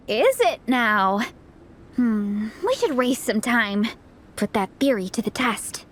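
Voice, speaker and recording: A woman playing an energetic, friendly young sporty team leader in a video game, upbeat and encouraging. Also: a little high, slow, a little hiss or hum. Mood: playful. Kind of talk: video game cutscene voice acting.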